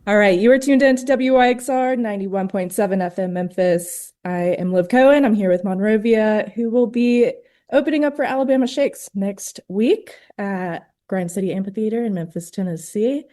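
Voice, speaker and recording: A woman hosting a radio studio interview, greeting her guest warmly and asking long, loosely worded questions. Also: mid-range voice, normal speed. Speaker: radio host.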